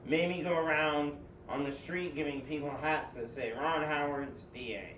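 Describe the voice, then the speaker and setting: A man's voice: on the high side, fairly even intonation, normal speed, fairly bright tone, natural voice. Man playing a film director, echoey and distant. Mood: matter-of-fact. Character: affable but demanding male film director boss. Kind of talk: amateur script table read. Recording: echoey, muffled, distant mic, faint noise.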